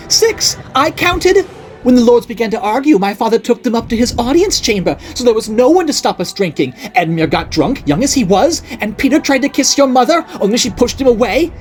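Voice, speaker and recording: A woman voicing an unhinged, jealous middle-aged noblewoman who rants and accuses in a shrill voice. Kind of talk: audiobook character voice.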